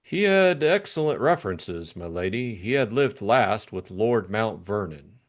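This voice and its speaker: Casting: male reader as formal, measured butler, deferential to the household, audiobook drama, lively pitch movement.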